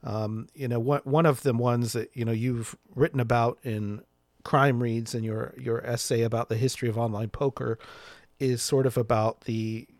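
A man hosting an interview, asking long, measured questions filled with "you know". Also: medium pitch, fairly even intonation, no room sound, studio quality. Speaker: interviewer host.

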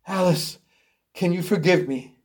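Man acting a gentle, composed lady. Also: sits high, unhurried, slightly rough. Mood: gentle forgiveness. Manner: calm, soft, earnest. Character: gentle, composed young lady, earnest and forgiving.

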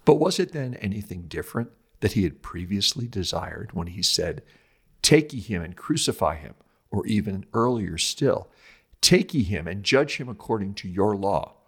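A man reading a scripted religious commentary like a lecture, with emphatic, measured phrasing and dramatic stress on scripture quotations. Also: lowish voice, sing-song, breathy edge.

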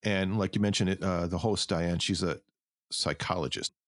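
A man with a very low voice hosts an interview in a casual, conversational style. The sound is close and dry, with hardly any room around him. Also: moderate pace, no room sound. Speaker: interview host.

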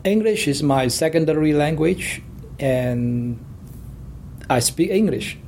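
A man whose first language is Cantonese, a library associate speaking hesitantly with many pauses in a panel discussion. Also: fairly high pitch, animated, a little mellow, slight background noise.

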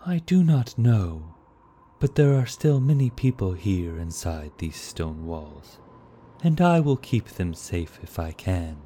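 A man voicing a serene, soft-spoken male lord who talks in a calm, measured, reassuring way. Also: sits low, slight background noise, exaggerated voice. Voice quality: soft-voiced.

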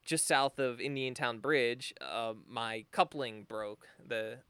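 A young man at a middle pitch, his voice smooth and resonant, recorded dry and close. He tells his story in a loose, easygoing way, full of "like" and small laughs. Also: steady tone, slow, fairly bright tone, dry recording. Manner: laid-back, rambling with fillers and chuckles. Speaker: guest sailor. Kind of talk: casual storytelling.

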